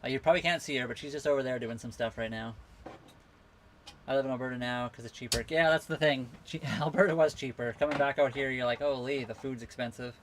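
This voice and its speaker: A man from western Canada, hosting a casual live Q&A from his sailboat, reading out viewer comments and answering them in a relaxed, rambling way. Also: medium pitch, fast, slight background noise. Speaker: livestream host answering viewer questions. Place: on a sailboat at a marina.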